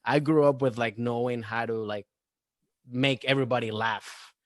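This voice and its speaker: A man's voice: medium pitch, steady tone, normal speed, no echo, clean studio sound. A young adult man from Venezuela with a Venezuelan accent, a comedian chatting as a guest in a loose, rambling way with many restarts and fillers. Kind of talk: casual podcast chat.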